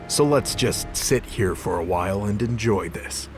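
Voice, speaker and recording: A man's voice: lowish voice, lively intonation, measured pace. Man acting a menacing death god over music. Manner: taunting, menacing. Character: menacing male god of death, cold assassin. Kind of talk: audio drama acting.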